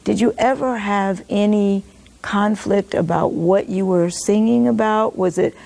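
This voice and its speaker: A middle-aged woman hosts a religious interview in a conversational way. Her voice is warm and dark in tone, with plenty of vocal fry, at an average female pitch. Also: unhurried. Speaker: TV interview host. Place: indoor TV studio set, seated.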